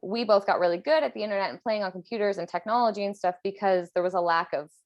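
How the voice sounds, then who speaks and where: A woman in her thirties chatting casually and candidly as a podcast host, thinking out loud with plenty of "like". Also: medium pitch, fast, highs cut off. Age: 30s. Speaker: podcast host and personal trainer.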